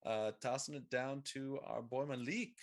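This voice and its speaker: A man's voice: medium pitch, fairly even intonation, normal speed. A man playing in a tabletop game, chatting casually in a laid-back, joking way. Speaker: player. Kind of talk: casual chat, plugging his socials.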